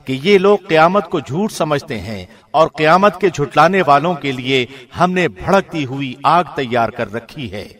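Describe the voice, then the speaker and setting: A man reading a scripture translation as a scripted narrator, in a formal, measured radio presenter's manner. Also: on the high side, animated, a bit bright.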